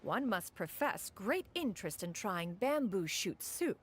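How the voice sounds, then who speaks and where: A woman's voice: medium pitch, big pitch swings, a little slow, slight background noise. Haughty, refined immortal woman. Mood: gracious. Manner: haughty, archaic, formal, calls herself "one". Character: proud, refined, ancient immortal woman adjusting to city life. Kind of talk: video game character dialogue.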